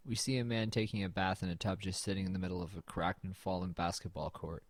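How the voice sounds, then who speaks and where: A man acts out a weary, wry young man from a bleak road-trip audio drama. The voice sits very low and is recorded dry and close. He narrates and talks in an understated, brooding manner. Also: little pitch movement, fast, no echo, natural voice. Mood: somber narrating. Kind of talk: scripted first-person narration and low-key dialogue in an audio drama.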